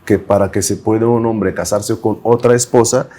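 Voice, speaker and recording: A man who learned his Spanish later in life, speaking on an interpreters' panel. His voice sits low and sounds mellow and dark. He talks in an easygoing way, chuckling and filling his sentences with small words. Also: steady tone, normal speed. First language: not Spanish. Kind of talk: panel discussion.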